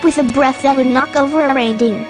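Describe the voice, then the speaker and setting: An actress playing a brisk, practical working mother with a no-nonsense manner in an old-time radio drama. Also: sits high, fairly even intonation, music in the background, close to an everyday voice. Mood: wry.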